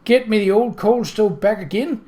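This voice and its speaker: A flustered Victorian household cook in a storybook, acted out by a man. She is high-pitched and hoarse, with rustic, deferential servant's speech and an indignant temper. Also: moderate pace, dark tone, close to an everyday voice. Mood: fervently hopeful. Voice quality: hoarse rasp. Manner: rustic servant's dropped words and deferential address.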